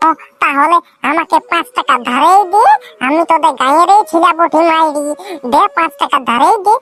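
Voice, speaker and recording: An actor voicing a cheeky village scrounger in a comedy cartoon, wheedling and nagging in a rural Purulia Bengali accent. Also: male voice, very high-pitched, normal speed, bright, forceful tone. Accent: rural Purulia, West Bengal. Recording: music behind the voice.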